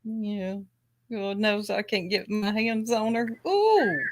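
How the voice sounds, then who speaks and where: A woman's voice: mid-range voice, slow pace, noisy background. A woman hosting a casual panel chat, mocking and taunting someone with frequent laughter. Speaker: host.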